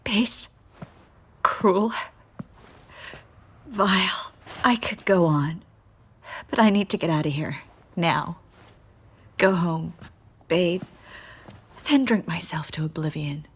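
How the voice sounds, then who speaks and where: A woman playing a proud, ruthless sorceress in a video game, with a cool, wry, deliberate delivery. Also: fairly low pitch, slightly rough. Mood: bitter self-disgust.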